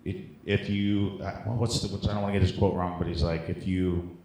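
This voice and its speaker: A man's voice: low-pitched, steady tone, fairly quick, darkish tone, reverberant, low-quality recording. A man in casual comic chat, telling stories in a rambling, joking, profane way. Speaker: comedian guest on a podcast.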